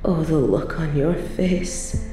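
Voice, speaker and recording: A woman playing an evil, possessive medieval queen in a roleplay audio drama, haughty and theatrical with drawn-out, breathy asides. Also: low voice, roomy sound, music in the background. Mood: smitten, teasing. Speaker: voice actor in a scripted roleplay audio.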